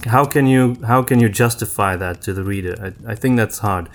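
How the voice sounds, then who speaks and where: A man's voice: fairly low pitch, brisk, a little hiss or hum. A man co-hosting a podcast in a casual, reflective discussion, hesitating and rephrasing as he goes. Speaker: podcast co-host.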